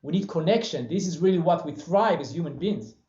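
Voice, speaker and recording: An Israeli-accented man in his forties speaks earnestly, with a motivational push, as he answers interview questions. His fairly high, mellow voice comes over a video call from a bare room, sounding echoey and muffled. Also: expressive, brisk, slight background noise. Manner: earnest, motivational, gestures with hands. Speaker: guest, franchise business owner. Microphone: webcam on video call. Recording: roomy dull video-call audio.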